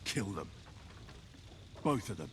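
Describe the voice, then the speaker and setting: A man's voice: on the low side, sing-song, slow, a little hiss or hum. An actor playing an earnest, hot-headed young medieval man in a video game, speaking intensely. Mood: bitter resolve. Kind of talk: video game drama acting.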